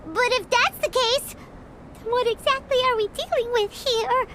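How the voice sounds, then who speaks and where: A woman voicing a small, excitable, childlike fairy companion with a squeaky, cheeky, exclamatory delivery in an anime fantasy game. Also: moderate pace, heavy background noise. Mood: puzzled, worried. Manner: refers to self in third person, exclamatory, cheeky. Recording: loud music behind the voice. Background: game music.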